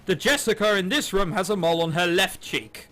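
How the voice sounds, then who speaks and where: Bright, high-pitched man reading a visual novel aloud. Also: a little fast. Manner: sweary, amused, sarcastic. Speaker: host of a gaming video series. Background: game soundtrack music.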